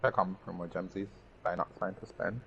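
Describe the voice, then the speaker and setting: A man's voice: sits low, fairly even intonation, fairly quick, gravelly, fairly bright tone, highs cut off. A man giving casual gameplay commentary, chatting to himself and to the game's characters. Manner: talks to himself and the game characters. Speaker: gaming streamer. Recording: band-limited mic with faint background noise.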